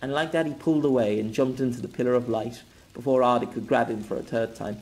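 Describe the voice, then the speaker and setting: A man's voice: mid-range voice, fairly even intonation, fast, a little mellow, rough recording. A man reading a scripted fiction story as a dramatic audiobook narrator.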